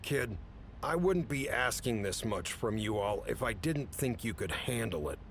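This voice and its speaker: A man playing an authoritative, businesslike chief who briefs agents in a measured way in an audio drama. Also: lively intonation. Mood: firm. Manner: authoritative, measured, matter-of-fact. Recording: rumbly background noise.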